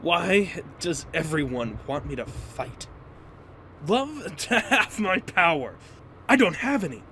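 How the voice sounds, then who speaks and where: Guy doing moody young hero, highish voice, swings around a lot, emotional, audio drama. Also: sing-song, slow, sharp tone, a little hiss or hum, exaggerated voice. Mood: agitated, angry. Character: moody, reluctant young male fighter. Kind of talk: fan audio drama acting.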